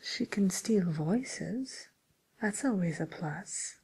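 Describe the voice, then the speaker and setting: A woman playing a polite, attentive matchmaker for villains in a whispered ASMR roleplay, with gentle, hesitant, breathy delivery. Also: mid-range voice, animated, slow pace, heavily acted. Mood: wryly approving. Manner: gentle, hesitant, courteous customer-service delivery. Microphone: close microphone.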